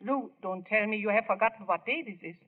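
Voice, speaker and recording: A woman's voice: mid-range voice, fast talker, close to an everyday voice. A woman acting in a 1940s radio drama as a proud, scolding older mother, emphatic and nagging. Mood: proud, insistent. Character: bossy, proud, scolding old mother of ballplayer. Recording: tinny, narrow-band old radio recording.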